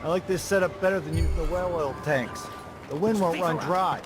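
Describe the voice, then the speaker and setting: An actor playing a rough male city guard in video game dialogue. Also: a little high, brisk, over music, lightly acted. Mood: businesslike.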